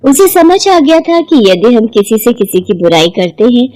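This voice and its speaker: A woman's voice: a little high, big pitch swings, moderate pace, mellow, soft background music, low-quality recording. A woman storyteller reading a scripted moral tale as narration.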